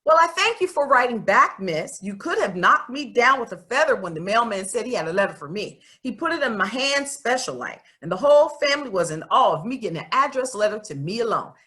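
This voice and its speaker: A woman reads as an outspoken young schoolgirl in a storybook. The voice is bright and forward, with lively swings in pitch. She states her mind in a folksy, emphatic way, earnest and a bit stubborn. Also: a little fast, few pauses. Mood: awed, pleased. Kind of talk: expressive storybook read-aloud in first person.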